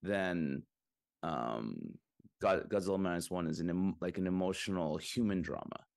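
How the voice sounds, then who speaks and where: Very deep-voiced man chatting casually. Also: normal speed, creaky, darkish tone. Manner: rambling, lots of fillers and restarts. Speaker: podcast co-host. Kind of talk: casual chat about films.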